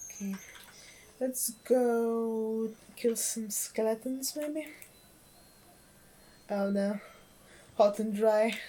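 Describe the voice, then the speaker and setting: Female game streamer, Polish accent, unhurried and soft-edged, fairly high voice, easygoing chat with faint music. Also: slight background noise. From Poland. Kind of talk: casual livestream game chat.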